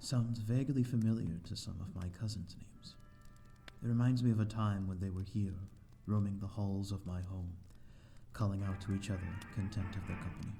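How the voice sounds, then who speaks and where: A man's voice: on the low side, fairly even intonation, normal speed, mellow, soft background music, close to an everyday voice. A man playing a courteous, lonely vampire host in an ASMR audio roleplay, speaking in a formal, flowery, slightly flustered and hesitant way. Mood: courtly, wistful. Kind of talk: ASMR audio roleplay, scripted character acting.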